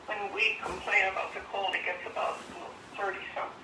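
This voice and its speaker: An older woman chatting casually and haltingly on a phone call, rambling as she goes. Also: mid-range voice, level tone. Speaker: phone interviewee, former resident of the house. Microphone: phone call played over speaker. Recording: distant noisy phone speaker, echoey.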